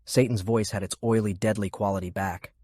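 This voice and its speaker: A man reading scripted fiction narration in a measured, deliberate way. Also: lowish voice, fairly even intonation, a little mellow, no echo. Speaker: audiobook narrator. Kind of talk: scripted narration of a fantasy war novel.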